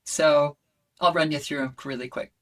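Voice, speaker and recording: A woman voice coach explaining her ideas in an animated, conversational way and breaking into demonstration sounds. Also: deep voice, normal speed. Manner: expressive, demonstrates voices with yawns, howls and shouts. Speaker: voice coach guest.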